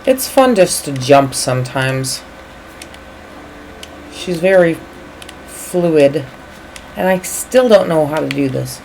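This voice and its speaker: A woman with a low voice and a Chicago accent chats casually while playing a video game. There is some light noise behind her as she talks. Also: animated, slow, creaky, long pauses, darkish tone, low-quality recording. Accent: Chicago American. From Chicago area, United States. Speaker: co-host of a video game stream, English teacher.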